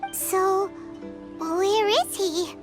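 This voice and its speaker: A woman voicing a tiny, excitable, childlike fairy companion in a video game, squeaky and given to exclamations. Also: drawn-out words, over music, heavily acted. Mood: puzzled. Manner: squeaky, exclamatory, refers to self in third person. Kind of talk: English video game voice acting.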